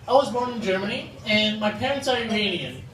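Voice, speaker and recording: A young man from Dubai performing stand-up comedy at an open mic in an animated manner, recorded in an echoey, noisy room from far off. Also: high voice, brisk. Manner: animated, acts out short quoted exchanges. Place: open mic comedy venue in front of an audience.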